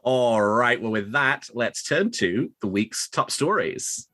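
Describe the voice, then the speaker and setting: A man's voice: medium pitch, expressive, takes it slowly, music in the background. A man presenting news as a podcast host in an articulate, presenterly way, reading prepared copy and discussing it. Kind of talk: scripted news narration and discussion.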